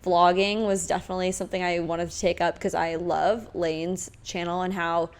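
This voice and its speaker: A young woman from Nebraska chats as a podcast guest. She rambles easily and fills her sentences with likes and I-don't-knows. Her pitch runs slightly low. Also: little pitch movement, moderate pace, clear, resonant tone. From Omaha, Nebraska, United States. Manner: chatty, rambling, filler-heavy. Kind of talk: casual chat.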